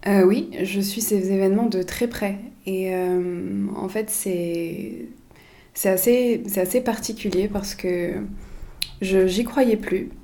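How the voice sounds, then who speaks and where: Female interview guest, mellow and level, earnest, reflective, repeating phrases for emphasis. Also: mid-range voice, steady tone, slow. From suburbs of Paris, France. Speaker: podcast guest, communications consultant.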